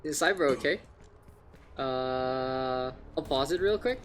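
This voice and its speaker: A man with a gravelly voice hosting an esports livestream, mixing casual slangy chat and game commentary. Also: mid-range voice, lively intonation, slow pace, faint music behind. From Philippines. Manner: informal, gamer slang, joking asides. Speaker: esports caster, streamer hosting the match. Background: video game sounds and announcer.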